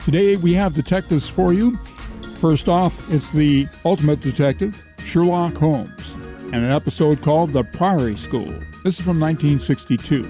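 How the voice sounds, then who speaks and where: A man's voice: on the high side, normal speed, dark tone, soft background music. A man hosting a podcast, giving a scripted introduction to the show. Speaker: podcast host.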